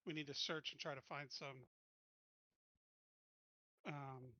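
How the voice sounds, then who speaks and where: Bright, tense-voiced man player. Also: medium pitch, fairly even intonation, takes it slowly, dry recording, highs cut off. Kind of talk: casual chat in a tabletop game.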